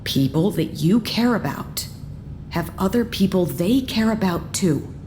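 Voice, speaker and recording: A woman's voice: medium pitch, expressive, unhurried, warm tone, slight background noise, close to an everyday voice. An actress playing a determined, hopeful woman in an audio drama, arguing in an earnest and insistent way. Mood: impassioned pleading. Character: determined, hopeful woman urging a trapped companion to escape. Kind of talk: naturalistic audio drama acting.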